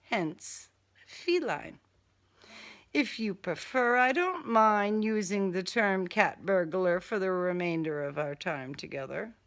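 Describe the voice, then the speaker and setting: A woman's voice: mid-range voice, lively intonation, slow. A woman voicing a smug male serial killer in an audiobook, with polite, condescending, formal diction and a taunting menace. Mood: smug, deducing.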